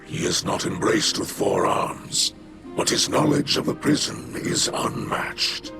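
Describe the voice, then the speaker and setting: An actor playing a stern, bitter alien father and warlord in a video game, with a gravelly voice and a formal, menacing manner. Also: lowish voice, lively intonation, a little slow. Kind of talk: scripted video game dialogue. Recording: music behind the voice. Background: game music.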